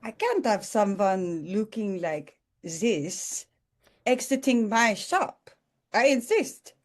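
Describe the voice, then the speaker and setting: Slow, sweet old widow shopkeeper, played by a woman. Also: medium pitch, big pitch swings. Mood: kindly insistent. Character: sweet, wholesome old Austrian widow shopkeeper in her seventies. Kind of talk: table read of a romcom script.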